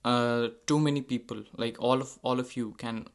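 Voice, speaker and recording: A man's voice: mid-range voice, fairly even intonation, normal speed. A young man whose first language is Hindi, talking casually to his channel's viewers, with frequent fillers and self-corrections. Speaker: channel host. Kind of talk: casual unscripted monologue.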